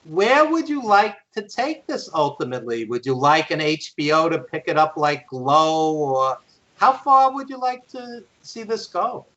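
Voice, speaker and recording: A man's voice: fairly high pitch, very animated, measured pace, fairly bright tone. A man co-hosting a podcast, talking casually in an interview. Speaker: podcast co-host. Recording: dull lo-fi call audio.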